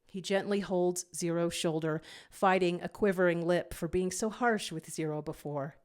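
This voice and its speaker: A woman narrating a scripted story in an expressive storytelling manner. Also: lowish voice, normal speed.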